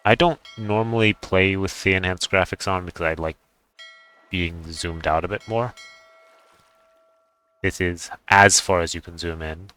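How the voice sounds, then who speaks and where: A man's voice: low voice, fairly even intonation, measured pace, long pauses, bright, forceful tone, clear, resonant tone. A man giving casual, unscripted commentary on a game, rambling in an offhand way as he thinks aloud. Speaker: gaming video creator.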